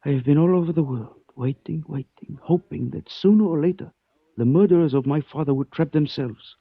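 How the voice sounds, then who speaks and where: A courteous but dangerous Chinese avenger from 1940s radio drama. His voice is rough-edged and his phrasing formal and measured. The sound is dull, aged and close, with little room around it. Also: a little high, no echo. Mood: solemn. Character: polite, menacing Chinese man avenging his murdered father. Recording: muffled 1940s radio recording.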